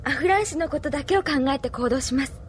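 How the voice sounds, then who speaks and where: A woman's voice: high-pitched, animated, quick pace, a little hiss or hum. A woman playing a sweet, earnest young staffer devoted to her leader in an audio drama, polite and girlish. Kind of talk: anime-style audio drama acting.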